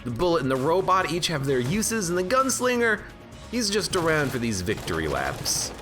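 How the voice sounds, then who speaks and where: Man, game streamer, congested from allergies, rambling self-joking chat, lively swooping pitch, into his desk mic. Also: normal speed. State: stuffed up from allergies. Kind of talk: casual let's-play commentary. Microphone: own desk microphone. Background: game music and gunfire.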